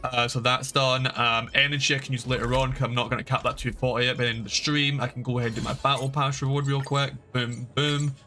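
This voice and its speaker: A man's voice: medium pitch, flat delivery, quick pace, few pauses, pushed and bright. A man giving casual livestream game commentary in a rambling, informal, emphatic way. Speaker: game streamer. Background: game music.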